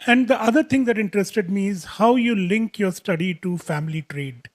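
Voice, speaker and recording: A man's voice: sits high, normal speed. A man interviewing an author on stage, asking long, searching questions with many fillers.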